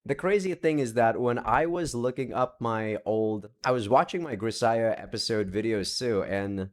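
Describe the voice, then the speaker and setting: A man's voice: medium pitch, moderate pace. A young man talking casually to his viewers from his room at home, rambling, cutting himself off and swearing lightly while he searches his game library. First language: not Japanese. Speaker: visual novel let's play creator. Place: home room with several monitors.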